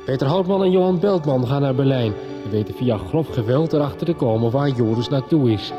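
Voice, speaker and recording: A man's voice: medium pitch, expressive, a little fast, close to an everyday voice. A man narrating the story so far in a radio soap, in a scripted storytelling style. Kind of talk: radio drama recap narration. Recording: dull 1990s radio recording. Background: music.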